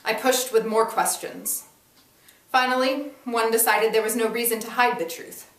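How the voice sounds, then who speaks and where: A woman giving a dramatic first-person reading of a story, in a deliberate, emphatic way. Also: on the high side, a bit bright. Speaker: reader performing a dramatic reading. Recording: echoey room, distant mic.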